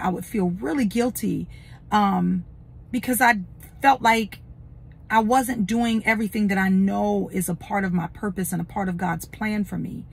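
Hoarse, gravelly woman life coach. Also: medium pitch. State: slightly hoarse. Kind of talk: casual motivational talk to camera. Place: inside a parked car. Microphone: phone at arm's length. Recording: noticeable background noise.

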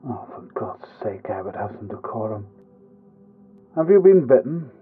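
An actor playing a gruff, wry sergeant in an audio drama, dry and commanding through a gas mask radio filter. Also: male voice, fairly low pitch, sing-song, moderate pace. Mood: stern, impatient. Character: gruff, wry male sergeant of elite soldiers. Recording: tinny narrow radio sound, music behind.

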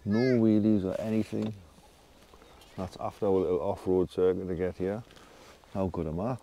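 Deep-voiced man joking outdoors. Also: steady tone, normal speed, gravelly, mellow, a little hiss or hum. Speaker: motorcycle camping vlogger. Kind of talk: casual vlog chat. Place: outdoor campsite.

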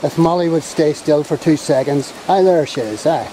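A man with a Belfast accent hosting a casual outdoor vlog, with hesitant, drawn-out phrasing. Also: a little high, poor audio. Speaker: vlog host. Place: outdoors in a wooded glen beside a stream. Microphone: handheld camera mic, behind the camera. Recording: loud rushing stream noise outdoors.

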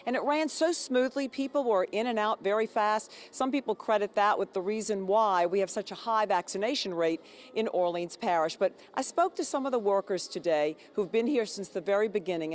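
A woman's voice: mid-range voice, normal speed, a little hiss or hum. A woman TV news reporter giving a live report from an indoor convention hall, speaking into a handheld microphone with polished broadcast delivery. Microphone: handheld station mic.

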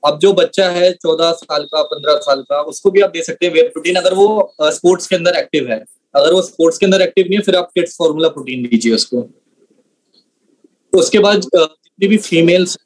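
A man's voice: on the high side, rough recording. A young man explaining as a trainer on an online session, mixing in many English words. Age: young adult. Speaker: trainer answering product questions.

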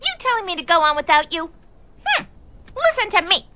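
An actor voicing a small, talkative, excitable cartoon bird, exclaiming and pleading in a dubbed animation. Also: extremely high pitch, brisk, very noisy. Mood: eager, pleading. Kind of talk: animated cartoon dub acting. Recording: loud music behind the voice.

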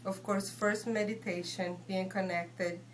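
A woman from Guatemala with a Guatemalan Spanish accent, giving an informal spiritual talk in an earnest, reassuring, halting manner. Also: medium pitch, fairly even intonation, slight background noise, rough recording. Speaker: shaman and healer, guest. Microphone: phone handed over.

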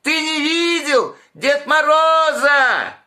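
A small, curious hedgehog boy from a storybook, high and eager, his bright voice leaping up with every question, as a man puts him on. He talks slowly, as if puzzling things out aloud. It sounds close and clear, taped in a small room at home. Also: expressive, exaggerated voice.

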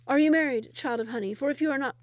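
A woman's voice: high voice, fast talker, no room sound, voice pushed up, lightly acted. A woman voicing a pompous, desperate middle-aged male sultan who pleads and protests indignantly. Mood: eager.

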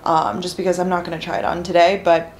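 A young woman in her twenties rambles cheerfully to her camera, peppering every thought with "like". She stands back from a camera on the kitchen counter, so her voice carries a roomy apartment echo over a steady background hum. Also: fairly low pitch, fast talker, far from the mic. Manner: chatty, lots of "like" fillers, upbeat. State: slightly hoarse. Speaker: lifestyle vlogger. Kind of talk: casual vlog chat to camera. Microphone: camera on counter, some distance.

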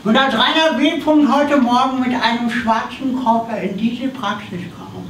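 Casting: pompous male bureaucrat played by a man, legalistic tax sleuth for live comedy, high voice, recorded at a distance. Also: normal speed, fairly bright tone. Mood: triumphant. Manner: officious, legalistic, hesitating. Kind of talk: live comedy radio play on stage. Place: small theatre stage. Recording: distant camera in echoey theatre, noisy.

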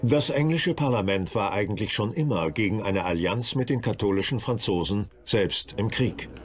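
A man's voice: lowish voice, animated, moderate pace, soft background music. A man narrating a historical documentary from a script.

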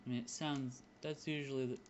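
A man's voice: medium pitch, fairly even intonation, a little fast, a little hiss or hum. A young man giving casual gaming commentary, thinking aloud in a hesitant way and muttering to himself. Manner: hesitant, muttering counts to self. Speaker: gaming video host. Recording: dull lo-fi mic, loud piano music. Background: loud piano background music.

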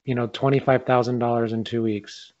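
A man's voice: mid-range voice, flat delivery, a little fast, a little mellow. A man chatting casually as a podcast host on a video call. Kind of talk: casual chat. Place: indoor room on a video call. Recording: dull lo-fi video call audio.

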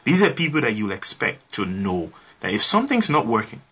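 Husky young man explaining with examples. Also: on the low side, wildly swooping pitch, a little fast, fairly bright tone. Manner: explains with everyday examples, builds points by repetition. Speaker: guest. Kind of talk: explanatory conversation. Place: small home studio room.

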